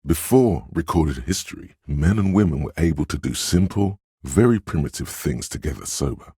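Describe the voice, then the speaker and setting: An extremely low voice plays a coaxing inner voice for a video game. It is heavily husky and breathy, measured and knowing, as if advising a troubled cop from inside his head. The recording is close and dry. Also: lively intonation, dark tone, no room sound, full character voice. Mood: chiding. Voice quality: very deep, husky. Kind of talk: scripted second-person game narration.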